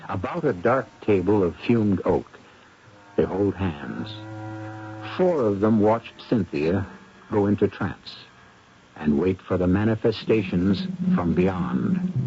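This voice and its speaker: A man hosting and narrating a radio mystery in a brooding, deliberate, dramatic scripted style. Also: lowish voice, lively intonation, dark tone, slight background noise. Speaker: host and narrator. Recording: muffled old radio recording. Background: music.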